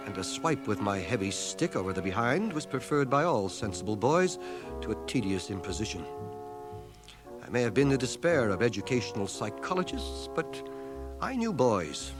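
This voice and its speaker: A man's voice: mid-range voice, lightly acted. An actor playing a learned schoolmaster and war veteran, narrating his life in a radio drama with dry, literate irony. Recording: 1980 radio drama cassette transfer.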